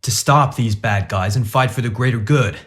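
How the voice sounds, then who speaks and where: A man's voice: mid-range voice, normal speed, a bit bright, lightly acted. An actor playing a cocky male shark superhero in a radio play, flippant and dry. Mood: defiant.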